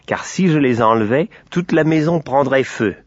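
Guy doing the sun from a kids tale, firm and kindly, sure of himself, slightly husky. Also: mid-range voice, animated, moderate pace, no echo, highs cut off, pitched above the performer's own voice, natural voice. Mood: calm, firm. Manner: firm, assured. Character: the sun, firm, kindly, self-assured male visitor.

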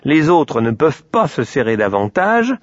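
A man voicing a young boy God, childish and bossy, brisk and matter-of-fact. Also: fairly high pitch, sing-song, no room sound, dull audio, voice pushed up. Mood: firm.

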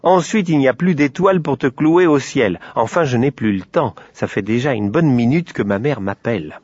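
A man voicing a young boy God, childish and bossy, brisk and matter-of-fact. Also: medium pitch, lively intonation, darkish tone, slightly rough, no echo, muffled recording. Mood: firm.

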